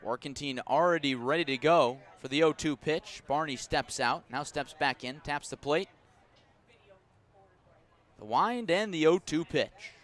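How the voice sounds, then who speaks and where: A man doing live baseball play-by-play for radio, describing each detail in a measured way and punching up the action. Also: on the high side, fairly bright tone. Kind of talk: live sports commentary. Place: outdoor ballpark broadcast.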